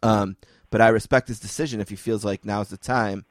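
A man's voice: on the low side, fairly even intonation, dry recording, clean studio sound. A man hosting a podcast, chatting casually and joking at his own expense. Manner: chatty, self-deprecating, quick asides. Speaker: podcast host. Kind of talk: casual chat.

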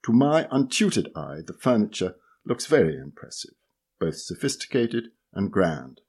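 A man reading an essay aloud, measured and deliberate as he works through lists of book lots. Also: on the low side, big pitch swings, a little mellow, breathy edge. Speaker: reader. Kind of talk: scripted narration of an essay.

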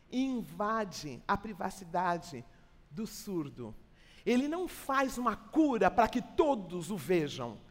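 A woman with a Brazilian Portuguese accent preaching an expository church sermon in a colloquial, teacherly way, repeating phrases for emphasis. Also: fairly high pitch. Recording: hall with long reverb.

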